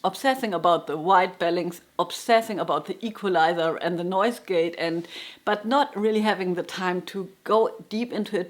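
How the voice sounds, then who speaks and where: A middle-aged woman speaks English with a German accent, at a voice of middling height for a woman. She reflects in a candid, unhurried way and gently pokes fun at herself as she talks through her routine. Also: mid-range voice. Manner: thoughtful, candid, self-deprecating, chuckles now and then. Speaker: jazz guitar teacher and YouTuber. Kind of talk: reflective casual monologue. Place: her home room.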